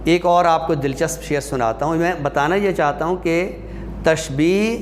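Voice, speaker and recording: A man giving an explanatory class lecture in a deliberate manner, pausing and posing questions that he then answers. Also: fairly high pitch, a little hiss or hum. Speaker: lecturer teaching Urdu rhetoric.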